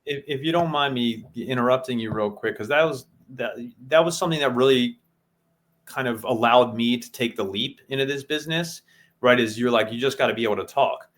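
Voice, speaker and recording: A man's voice: medium pitch, a little fast, fairly bright tone. A man co-hosting a casual business podcast who explains things methodically, step by step. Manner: methodical, breaks goals down step by step. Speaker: podcast co-host.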